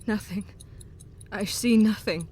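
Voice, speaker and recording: A woman's voice: mid-range voice, mellow, slightly rough, faint music behind. An actress playing a young woman under a vampire's spell, speaking earnestly in an audio drama. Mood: entranced. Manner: earnest, halting when entranced. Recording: audible background hiss.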